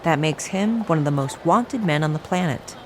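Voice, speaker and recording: A curt, cool agent. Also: female voice, deep voice, lively intonation, normal speed, noisy background. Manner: curt and condescending, matter-of-fact. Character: cool, blunt woman agent guiding a fugitive. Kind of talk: radio drama acting.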